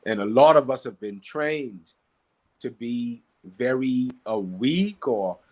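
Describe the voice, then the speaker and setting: A man from the Bahamas with a Bahamian accent and a slightly husky voice, teaching conversationally and emphatically, repeating key phrases and asking rhetorical questions. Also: mid-range voice, expressive, slow pace. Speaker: podcast guest, Qigong practitioner and teacher.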